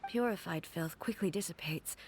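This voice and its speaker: A woman playing a composed, regal lady in a video game, with a calm, measured and formal delivery. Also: on the low side, slight background noise, close to an everyday voice. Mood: solemn, explaining. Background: music.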